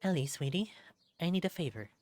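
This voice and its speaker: A narrator voicing a gentle, caring mother fox healer in a visual novel, soft, tender and serious. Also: medium pitch, expressive, a little fast, voice pushed up, close to an everyday voice. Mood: tender, worried.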